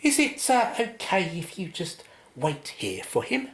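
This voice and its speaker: Woman acting young female receptionist, British, polite, hesitant, chatty, hugely expressive, radio play, echoey room. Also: lowish voice, unhurried. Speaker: actor.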